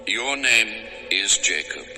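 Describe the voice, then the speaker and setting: A man's voice: on the low side, lively intonation, pushed and bright, full character voice. An actor playing God Almighty in a dramatized scripture recording, solemn and commanding as he pronounces blessings. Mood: solemn, majestic. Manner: slow, solemn, declarative. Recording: long cavernous reverb, music underneath. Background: music.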